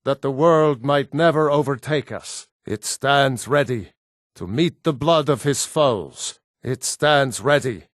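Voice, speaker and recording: A man's voice: medium pitch, slow pace, no room sound, studio quality, close to an everyday voice. A man voicing a proud, stern Nord warrior for a fantasy video game, with a solemn, commanding and terse delivery. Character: gruff proud Nord warrior, stern battle-hardened brother-in-arms.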